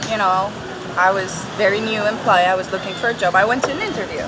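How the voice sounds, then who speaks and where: A woman's voice: mid-range voice, fast. A woman in her thirties, a guest telling stories animatedly with frequent laughs on an outdoor patio. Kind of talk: casual storytelling. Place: outdoor restaurant patio under a thatched roof. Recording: outdoor patio with traffic noise. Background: street and traffic noise.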